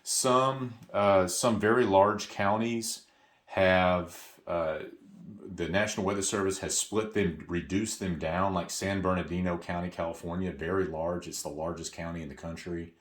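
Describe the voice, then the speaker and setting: A man's voice: low-pitched, fairly even intonation, moderate pace. A man presenting an unscripted step-by-step product walkthrough, explaining in a hesitant way and correcting himself as he goes.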